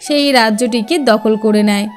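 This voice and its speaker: High-pitched woman narrating a fairy tale. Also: normal speed, faint music behind. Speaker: storyteller.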